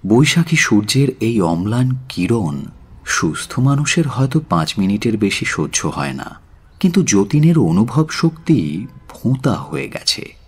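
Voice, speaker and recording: A man narrating a literary audio story in a measured, solemn and dramatic way. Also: fairly low pitch, very animated, darkish tone, husky. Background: faint music.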